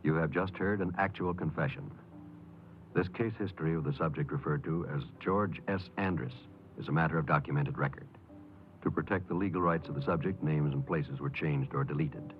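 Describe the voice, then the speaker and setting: A man announcing in formal 1950s radio style, solemn and measured. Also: extremely low pitch. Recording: tinny old 1950s radio broadcast.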